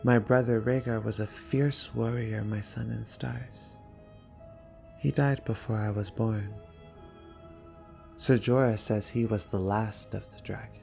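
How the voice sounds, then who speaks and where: A man voicing a proud young noblewoman in a dramatic story reading, imperious and deliberate. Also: lowish voice, fairly even intonation, vocal fry, warm tone, soft background music. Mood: wistful. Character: proud young noblewoman, a queen finding her confidence.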